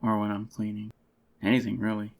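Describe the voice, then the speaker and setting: A man doing a soft-spoken, intimate ASMR roleplay as an adoring, possessive boyfriend, in a slightly groggy, unhurried murmur. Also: lowish voice, completely flat delivery. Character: adoring possessive young boyfriend, tender and clingy. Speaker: ASMR voice actor.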